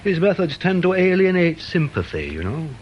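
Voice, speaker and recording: A man's voice: a little high, sing-song, moderate pace, muffled recording. A man playing a polite, earnest British police sergeant in a radio detective drama, deferential and a little pedantic. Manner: deferential, precise, mildly pedantic. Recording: faintly noisy old radio drama recording.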